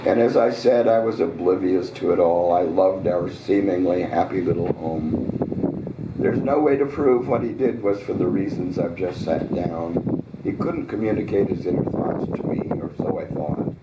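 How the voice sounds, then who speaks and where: A man hosting a live reading, hoarse, telling his own short story in a relaxed, conversational way with small asides. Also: deep voice, normal speed, very noisy. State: hoarse, voice getting raspy. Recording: roomy, distant restaurant recording.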